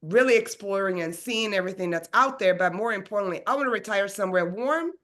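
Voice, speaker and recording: A woman's voice: medium pitch, quick pace, fairly bright tone. Upbeat casual woman hosting a webinar. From Sweden. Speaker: realtor and business coach, webinar host. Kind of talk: presenting a webinar.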